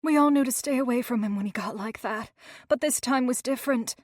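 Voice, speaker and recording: A scared teenage girl on the run, as a woman reads her, talking in rough, broken grammar. Her voice sits high and tight in a dry, close storybook reading. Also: a little fast, a little mellow, no room sound, close to an everyday voice. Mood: frightened. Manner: rough, ungrammatical speech. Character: frightened teenage girl, battered runaway.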